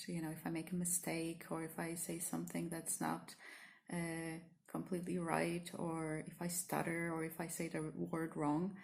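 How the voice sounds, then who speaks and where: Low gravelly woman with a Polish accent. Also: a little mellow. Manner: hesitant, many fillers and restarts, earnest. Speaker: spiritual teacher and vlogger. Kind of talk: spontaneous reflective monologue to camera.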